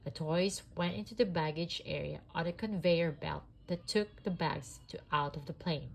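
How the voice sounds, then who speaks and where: A sniffly woman reading a children's storybook aloud in an expressive, homemade style. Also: low voice, normal speed, gravelly, a little hiss or hum.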